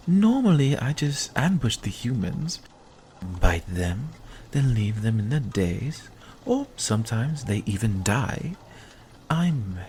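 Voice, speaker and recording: An actor playing a sly, centuries-old vampire in an ASMR roleplay, speaking in a hushed, deliberate, guarded way. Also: mid-range voice, a little hiss or hum. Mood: musing, weary.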